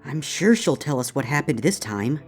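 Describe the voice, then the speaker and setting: An earnest, worried young female pony from a dramatic fan story, acted out by a man. The voice leaps high and swings widely, urgent and exclamatory, over faint music. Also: expressive, moderate pace, close to an everyday voice. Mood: worried, insistent. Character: anxious young female unicorn pony student, earnest and pleading. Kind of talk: dramatic fan fiction reading.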